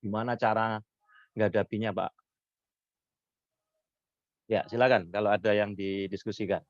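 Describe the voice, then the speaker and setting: A hoarse man, lecturing on public speaking and answering questions, lifting his voice high when he stresses points. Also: flat delivery, normal speed, no echo. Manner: rising pitch when stressing points, mixes Javanese words. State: hoarse from illness. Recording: dull lo-fi sound.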